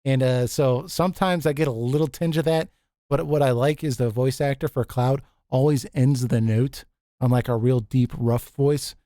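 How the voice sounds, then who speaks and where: A man with a deep voice hosting a casual group chat, easygoing and quick to laugh, often restarting his sentences. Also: steady tone, dark tone, dry recording, studio quality. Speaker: podcast host.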